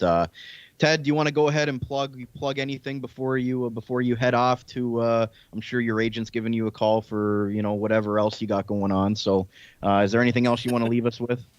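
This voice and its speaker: Low-pitched host, slightly dull audio. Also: male voice, dry recording. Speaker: sports podcast host. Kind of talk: casual live draft coverage chat.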